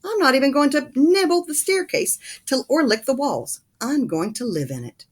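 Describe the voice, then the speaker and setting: A woman voicing a stubborn, indignant prince in a storybook, loud and defiant. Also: sits high, animated, moderate pace, voice pushed up.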